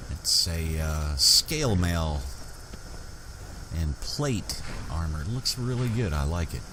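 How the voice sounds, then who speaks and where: A man narrating his fantasy game roleplay in character, unhurried and pausing as he goes. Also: low voice, pushed and bright, a little hiss or hum.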